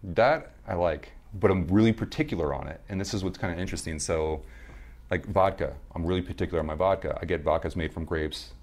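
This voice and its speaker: A man as a podcast guest, telling rambling stories in casual chat with a slightly hoarse, smooth low voice. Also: a little fast, a little mellow. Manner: rambling storyteller, many restarts and fillers.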